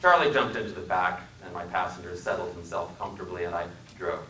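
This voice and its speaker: Man from wisconsin, dramatic reading, measured, dry wit, bright tone, echoey library room, distant mic. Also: low-pitched, slight background noise. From Wisconsin, USA. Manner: measured, dryly wry storytelling. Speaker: author giving a dramatic reading.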